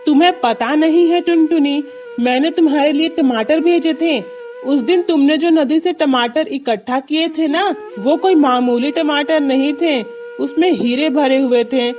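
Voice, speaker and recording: A high-voiced, kindly magical tree from a children's cartoon, played by a woman. It talks like an earnest, reassuring friend, explaining things patiently, with music playing behind. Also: measured pace, a little mellow. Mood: earnest, revealing. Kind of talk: children's cartoon story dialogue.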